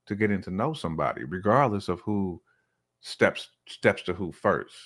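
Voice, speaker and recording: A man chats on a casual talk podcast in a fairly low voice. His intonation is very animated and rises and falls freely. He speaks colloquially and slips into imagined lines of dialogue to make a point. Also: moderate pace. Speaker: podcast guest or co-host. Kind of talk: casual conversational opinion.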